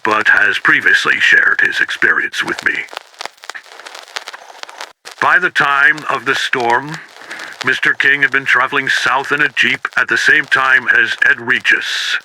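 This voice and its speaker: A man plays a grave male investigator dictating an official case report for an analog horror tape. His voice has a very bright, hard edge at a middling pitch. He speaks solemnly and deliberately, over faint radio-like noise. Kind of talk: 1990s analog horror audio log, scripted report. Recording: noisy, harsh-edged radio-like tape recording.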